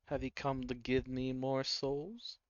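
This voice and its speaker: Male game master as ancient bony lair creature: menacing, cryptic, very unhurried, close dry sound. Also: mid-range voice, level tone, smooth, no room sound, lo-fi sound, close to an everyday voice. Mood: brooding, sinister.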